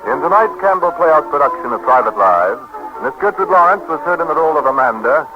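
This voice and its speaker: A man's voice: on the high side, animated, fast talker, over music. A man, a polished, salesmanlike 1930s radio announcer reading scripted copy. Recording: dull 1930s radio broadcast.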